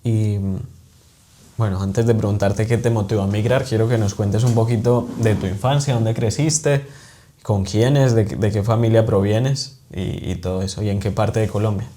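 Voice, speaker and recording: A man hosts a podcast with a warm, mellow voice. He keeps the talk loose and joking as he questions his guest. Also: fairly low pitch, steady tone, normal speed. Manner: joking, casual interviewer. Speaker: podcast host. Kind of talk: podcast interview.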